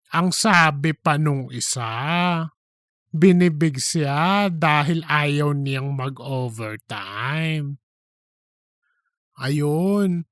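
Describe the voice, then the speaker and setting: A man acts out a campy, cheeky office gossip for a comedy audiobook. The voice is bright and sharp, drawn out at a slow pace with dramatic slangy flair, and recorded very dry. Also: a little high, smooth, no echo, exaggerated voice. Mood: gossipy. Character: gossipy, cheeky, self-promoting office worker named Cheese.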